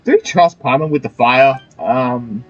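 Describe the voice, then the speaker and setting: A man's voice: medium pitch, a little slow, dull audio. A man giving casual, sardonic commentary while playing a video game, swearing offhandedly. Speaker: gamer playing through a story quest.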